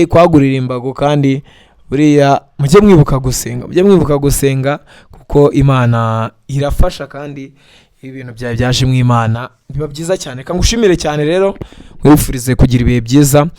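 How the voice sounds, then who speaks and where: A man's voice: mid-range voice, a little fast, dark tone, clear, resonant tone, no room sound, studio quality. A male news presenter reading a report, then earnestly asking viewers to comment and giving blessings. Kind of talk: news narration and sign-off.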